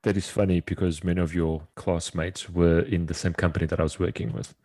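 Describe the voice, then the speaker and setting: A man from South Africa introducing himself on a casual podcast, telling his story in a relaxed, steady way. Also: deep voice, brisk, no echo. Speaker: podcast co-host.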